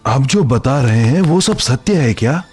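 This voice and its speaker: A man's voice: mid-range voice, animated, measured pace, a little mellow, husky. A man voicing a kindly, middle-aged village chief and protective father in a cartoon mythological drama, earnest and formal. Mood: troubled, hesitant. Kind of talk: animated mythological drama dub. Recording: background music under dialogue.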